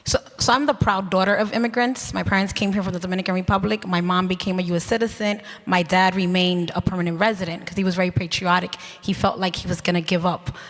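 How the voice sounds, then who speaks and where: A woman state representative answering on a panel in an earnest, conversational way, often ending points with a quick "right?". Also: on the low side, steady tone, a bit bright. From New York City. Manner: conversational, earnest, with tag questions. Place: conference hall panel. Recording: reverberant hall.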